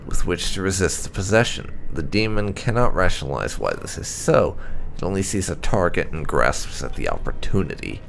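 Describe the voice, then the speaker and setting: A man reading game lore entries aloud as scripted narration, in a formal, measured, deliberate way. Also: deep voice, vocal fry, slight background noise. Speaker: gaming channel host.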